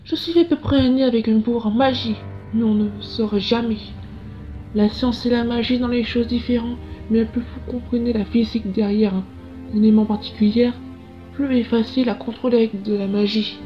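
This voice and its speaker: High, muffled, earnest scientist, played by a woman. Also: steady tone, normal speed, lightly acted. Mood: reflective. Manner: explanatory, reflective. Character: earnest, curious scientist with visions of the future. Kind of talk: dubbed comic narration and dialogue. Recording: dull lo-fi sound with music behind.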